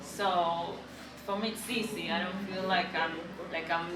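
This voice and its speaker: A woman's voice: on the low side, steady tone, unhurried, background noise. A woman from Argentina with an Argentine accent, a native Spanish speaker, giving casual answers as an interview guest. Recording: echoey, distant mic, background chatter.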